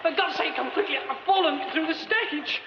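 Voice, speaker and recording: An actor playing a polite, excitable, educated theatre man with a British accent and an expressive manner in a radio drama. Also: very high voice, moderate pace. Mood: panicked. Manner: expressive, muttering exclamations to himself. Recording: dull, noisy radio recording.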